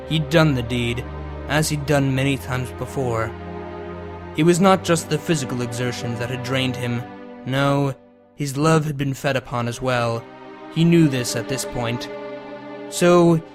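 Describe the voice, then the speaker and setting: A man who reads fan fiction aloud and hosts his own channel. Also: mid-range voice. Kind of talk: dramatic scripted story narration, then casual outro. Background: music.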